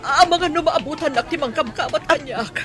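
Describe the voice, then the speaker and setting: A woman's voice: high voice, normal speed, a crowd in the background, natural voice. A woman playing an older mother, protective and emotional, pleading and grateful in a radio drama. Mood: distressed, pleading. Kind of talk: Ilocano radio drama acting.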